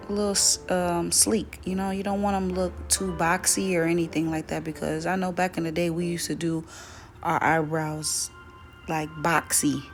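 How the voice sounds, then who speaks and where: A young woman with a slightly raspy, dry voice, chatting casually through a makeup tutorial. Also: lowish voice. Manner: relaxed, chatty, self-correcting. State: voice dry from weather. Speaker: beauty vlogger.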